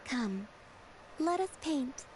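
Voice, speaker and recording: A woman's voice: sits high, lively intonation, drawn-out words, heavy background noise, full character voice. A woman voicing a serene, gracious moon spirit in an anime video game, speaking formally and poetically.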